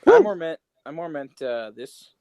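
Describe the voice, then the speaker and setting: A man with a fairly high voice and very lively intonation banters on a podcast. He is casual, teasing and sarcastic with the others. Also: normal speed, dull audio. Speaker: podcast co-host.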